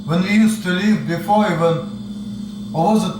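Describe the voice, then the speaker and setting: A man's voice: sits high, measured pace. A young man with a strong Russian accent, chatting casually and profanely with many fillers in a home kitchen. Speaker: video host. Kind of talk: casual chat. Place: apartment kitchen. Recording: echoey kitchen, far mic, noisy.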